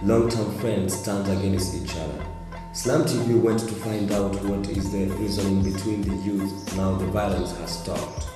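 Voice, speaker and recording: A man's voice: low voice, fairly even intonation, measured pace. A man reading a scripted news feature as its reporter. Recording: echoey hall reverb, music behind. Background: music.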